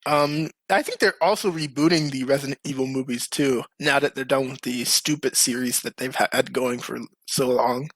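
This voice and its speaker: A man's voice: mid-range voice, steady tone, normal speed, few pauses, a bit bright, dry recording. A man hosting a casual, slangy group chat as a podcast host. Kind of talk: casual group chat about games and movies.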